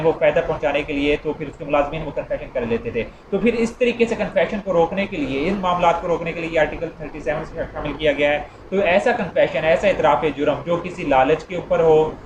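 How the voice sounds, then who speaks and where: A man with a Pakistani accent gives an informal explanatory lecture, repeating and rephrasing his points and mixing in English legal terms. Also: on the high side. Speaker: law lecturer. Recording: roomy, dull, clearly noisy recording.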